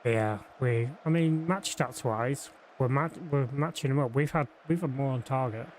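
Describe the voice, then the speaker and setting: A man's voice: mid-range voice, moderate pace, darkish tone, slight background noise. Casual man thinking aloud while gaming. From Yorkshire. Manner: casual, thinking aloud, frequent fillers and restarts. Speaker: streamer. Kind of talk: live game commentary and chat.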